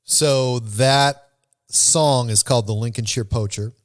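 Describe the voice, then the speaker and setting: A man hosting a podcast in a casual, conversational way, with a halting, rambling delivery. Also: mid-range voice, heavy background noise. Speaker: podcast host.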